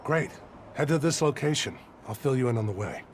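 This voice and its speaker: A man's voice: mid-range voice, expressive, fairly quick, slight background noise, lightly acted. A man playing a weary, earnest older police detective nearing retirement in a video game, explaining things in a matter-of-fact way. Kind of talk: video game voice acting, conversational.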